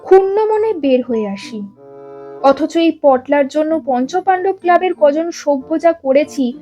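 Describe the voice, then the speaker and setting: A woman reading a scripted story as narrator, with dramatic, expressive delivery. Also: high-pitched, unhurried, faint music behind. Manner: expressive, acts out characters' dialogue.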